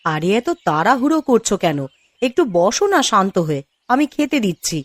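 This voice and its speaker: A woman as a fussing, animated village wife. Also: medium pitch, a little fast, dry recording. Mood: caring, chiding. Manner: fussing, coaxing. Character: caring, gently chiding young farmer's wife. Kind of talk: Bengali cartoon moral story acting.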